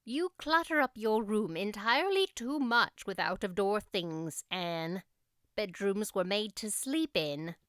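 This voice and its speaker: Woman voicing a stern, brisk older guardian, clear voice. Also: medium pitch, animated, fairly bright tone, no echo. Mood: disapproving, brisk. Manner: brisk, dry, scolding. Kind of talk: audiobook character voice.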